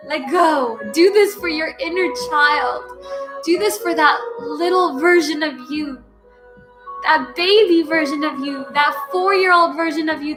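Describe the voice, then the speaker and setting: Slow, soothing woman guiding breathwork. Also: high voice. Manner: slow, soothing, repetitive coaching with loud breathing sighs. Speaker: breathwork guide. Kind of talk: guided breathwork session. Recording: music playing behind.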